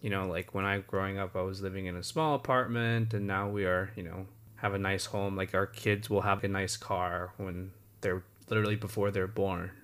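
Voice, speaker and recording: A man's voice: low voice, steady tone, moderate pace, hardly any pauses, fairly bright tone. A young man co-hosting a podcast in a casual, easygoing, slightly hedging way. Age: young adult. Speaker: podcast co-host. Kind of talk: casual chat.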